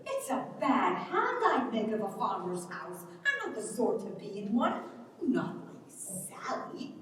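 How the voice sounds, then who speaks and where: A woman's voice: on the high side, huge pitch swings, fairly bright tone, heavily acted. A woman playing a proud, restless young countrywoman with impassioned, pleading delivery in a dramatic stage reading. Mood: bitter, reproachful. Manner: impassioned, pleading, quick to scorn. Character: proud, restless young Irish countrywoman longing for great cities. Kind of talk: dramatic stage reading of a rural Irish play. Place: large hall at a live reading. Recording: echoey hall, distant mic, faint noise.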